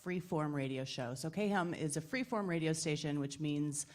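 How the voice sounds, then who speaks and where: Low-pitched woman radio host on a panel. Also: moderate pace, a little mellow. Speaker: radio host and assistant program director. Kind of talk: spontaneous self-introduction on a live panel. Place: live event at a playhouse.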